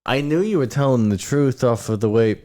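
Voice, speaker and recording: A young man at a tabletop game who jokes, swears and laughs his way through the session. His pitch swings widely as he talks, and his voice stays at a middle height. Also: expressive, darkish tone. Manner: laughing, profane, rapid joking. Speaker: player. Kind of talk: casual tabletop game chat, partly in character.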